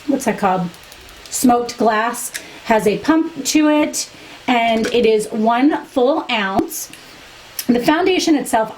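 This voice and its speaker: A woman's voice: fairly high pitch, poor audio. Chatty woman losing her voice. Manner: chatty, candid, opinionated. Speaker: beauty vlogger. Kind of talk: product review to camera.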